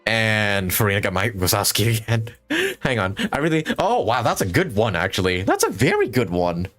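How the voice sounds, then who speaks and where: A man's voice: lowish voice, huge pitch swings, moderate pace, a bit bright, faint music behind. A young man giving casual live gameplay commentary, jokey and talking to himself as he plays. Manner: jokey, talks to himself while playing. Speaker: video game streamer.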